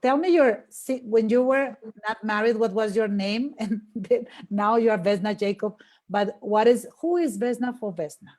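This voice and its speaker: A woman's voice: fairly high pitch, moderate pace, a little mellow. A woman hosting a live interview, enthusiastic and repeating words as she welcomes her guest. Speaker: podcast host.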